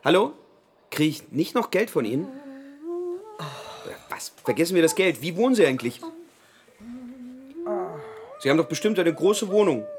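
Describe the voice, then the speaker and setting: A man's voice: on the high side, sing-song, normal speed, long pauses. An actor playing a tactless, shameless old man in a radio play, pushy and mock-polite as he pesters people. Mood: pushy, wheedling.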